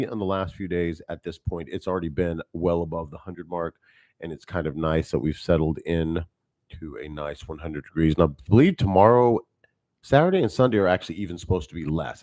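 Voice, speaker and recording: A man rambles through a live podcast, talking straight to his viewers. His voice sits very low and turns a little nasal now and then. The close studio mic leaves the sound dry, with no room echo. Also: darkish tone. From Texas. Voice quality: nasal at times. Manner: rambling, chatty, talks to viewers. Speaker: podcast host. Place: home studio. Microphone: studio mic on a mixing board.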